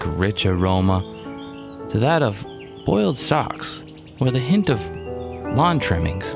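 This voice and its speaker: A man's voice: on the low side, vocal fry. A man in his thirties narrating a survival vlog outdoors in the woods, with dry, joking humor. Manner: jokey, dry humor, chuckles at himself. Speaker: bushcraft vlogger. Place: outdoors in woodland camp. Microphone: camera mic outdoors.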